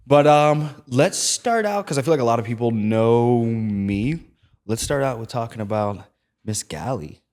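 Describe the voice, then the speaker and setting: A young man hosting a casual podcast, talking in an animated, rambling way with lots of fillers. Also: mid-range voice, measured pace. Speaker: podcast host.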